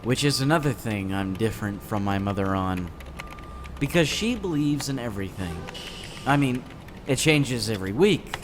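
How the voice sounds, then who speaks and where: A man's voice: mid-range voice, animated, moderate pace, background noise, lightly acted. A man playing a gloomy, sardonic teenage boy in a comic dub, with a dry, jaded, matter-of-fact delivery. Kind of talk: scripted comic-dub acting, first-person narration and dialogue.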